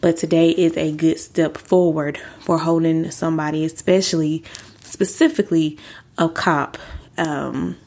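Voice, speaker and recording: A woman's voice: fairly even intonation, unhurried, a little mellow. A young woman hosting a faith-based podcast, six months pregnant and a little out of breath, talking earnestly and casually to her listeners. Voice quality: deeper than usual. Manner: earnest, chatty, uses y'all. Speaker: podcast host.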